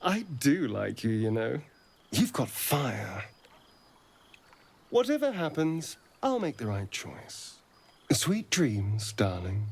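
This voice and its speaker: A flirtatious, menacing vampire rogue in a cinematic fantasy. He speaks slowly and theatrically, with silky teasing, a creaky edge and lively swoops of pitch. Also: full character voice. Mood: silky, amused. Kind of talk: cinematic fantasy game-style acting.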